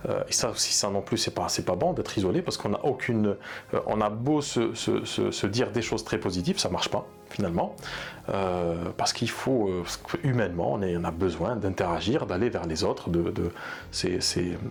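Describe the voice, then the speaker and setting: A man's voice: lowish voice, moderate pace, creaky, faint music behind. A man coaching therapy practitioners in an unscripted talk, speaking earnestly and hesitantly with many fillers and restarts. He also speaks Arabic. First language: bilingual in Arabic. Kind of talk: unscripted advice talk to camera.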